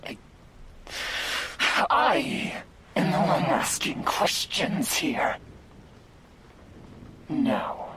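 Casting: woman to play cruel, haughty demon girl for ASMR roleplay; whispery, theatrical, very slow delivery with wide swings in pitch. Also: full character voice. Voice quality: breathy, much of it whispered. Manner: theatrical, taunting, archaic formal phrasing. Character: menacing, haughty demon girl, sadistic and wrathful. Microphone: binaural microphone.

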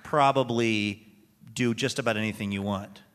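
A man's voice: fairly low pitch, normal speed, fairly bright tone, smooth. A man hosting a casual, joking live interview in a wry, conversational way. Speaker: radio show host, interviewer.

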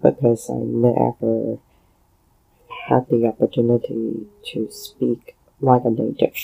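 A man from Hong Kong with a Hong Kong accent and Cantonese as his first language, talking alone on a podcast in a halting way, with many fillers and pauses. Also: mid-range voice, flat delivery, vocal fry, mellow, husky, poor audio. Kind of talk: solo spoken commentary.

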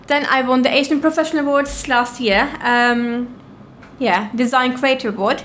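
A woman from Norway speaks as a podcast guest in a fairly high voice. She is plain-spoken and encouraging, with clear noise in the background. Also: steady tone, moderate pace, a bit bright. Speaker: podcast guest, business owner. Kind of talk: conversational interview answers.

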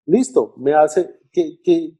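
A man, co-hosting a casual talk about language teaching, halting with restarts and fillers but getting lively when he argues. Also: a little high, breathy edge. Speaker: co-host discussing language teaching. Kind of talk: casual podcast chat.

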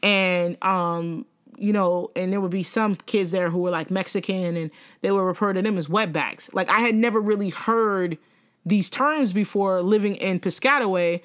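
A young woman co-hosting a podcast in casual chat, animated, laughing often and swearing freely. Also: medium pitch, moderate pace. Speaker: podcast co-host.

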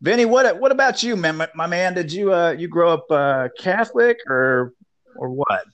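A man hosting a podcast, chatting in an animated, joking way and swearing casually. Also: fairly high pitch, moderate pace, dry recording. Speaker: podcast host. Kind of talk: casual chat.

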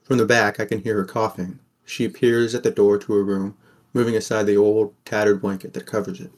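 A man's voice: lowish voice, level tone, fairly quick, a little mellow, lightly acted. A man playing a weary, wry sixteen-year-old boy from a poor mining town, narrating a fan audio drama in the first person. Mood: somber.

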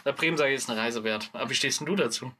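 A man co-hosting a casual podcast chat, dropping deadpan jokes. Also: mid-range voice, very fast, a little hiss or hum. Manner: joking, deadpan quips. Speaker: podcast co-host.

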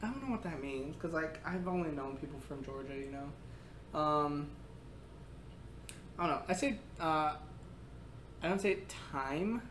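A woman's voice: sits low, a little slow, pauses often. A woman co-hosting a podcast in casual chat, rambling with lots of fillers. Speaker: podcast co-host. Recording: echoey room with faint noise.

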